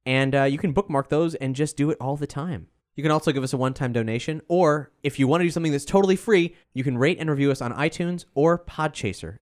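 A man's voice: a little high, fast, few pauses. A man hosting a podcast, making casual announcements to listeners in a conversational way. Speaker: host and performer.

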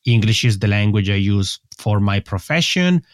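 A man's voice: on the low side, expressive, normal speed, no room sound, studio quality. A young man from the Italian-speaking part of Switzerland with a Swiss Italian accent, answering interview questions at length in a friendly way. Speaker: podcast guest.

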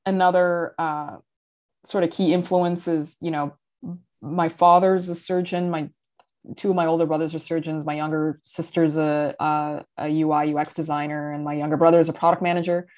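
Low-voiced woman founder, fluent and chatty. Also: little pitch movement, normal speed, a little mellow. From Sudan. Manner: fluent but full of fillers and restarts, laughs often. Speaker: guest, company founder and CEO. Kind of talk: casual interview talk.

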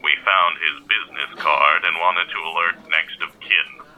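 A man's voice: rumbling low, steady tone, unhurried, sharp tone, exaggerated voice. A man playing a formal, matter-of-fact police officer over a telephone filter in an audio drama. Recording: muffled telephone line sound.

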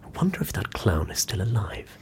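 An actor playing a dour, disapproving agent in an audio drama, with a husky voice. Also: male voice, lowish voice, sing-song, a little fast, in a whisper, exaggerated voice. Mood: musing, quiet.

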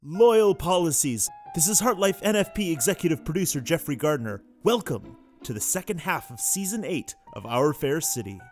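Man reading a producer's announcement, higher voice. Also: animated, moderate pace, hardly any pauses, soft background music. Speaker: executive producer. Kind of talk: scripted announcement.

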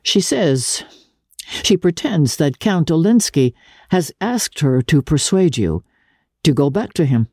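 Female reader as anxious young society gentleman in literary drama: formal, urgent, husky, recorded dry. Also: deep voice, big pitch swings, slow pace, no echo, pitched above the performer's own voice, full character voice. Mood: tense, probing.

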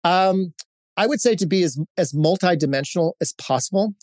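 A man's voice: high voice, normal speed, a little mellow, no echo, clean studio sound. A man in his fifties who grew up in Hawaii, giving candid, storytelling interview answers with frequent fillers and restarts. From Hawaii, United States. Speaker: guest, corporate executive.

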